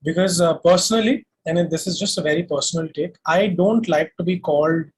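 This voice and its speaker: A man's voice: on the high side, fairly quick, poor audio. A man with an Indian accent, a singer-songwriter giving earnest, emphatic, articulate answers in a conversational interview.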